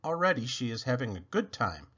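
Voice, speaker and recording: A man reading a children's storybook aloud with expressive narration. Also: medium pitch, brisk, husky, muffled recording.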